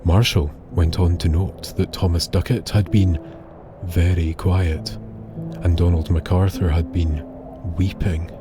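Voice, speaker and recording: A man narrating an eerie scripted tale in a solemn, measured, dramatic way with pauses. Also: sits low, fairly even intonation, background noise. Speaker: storyteller, narrator. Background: music.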